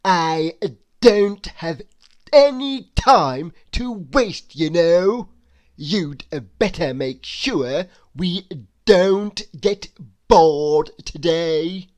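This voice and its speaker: A woman voicing a rude, impatient male storybook creature who roars in a loud, drawn-out, emphatic way. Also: fairly low pitch, lively intonation. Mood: roaring, impatient. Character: rude, impatient, bad-tempered male cartoon creature in a top hat.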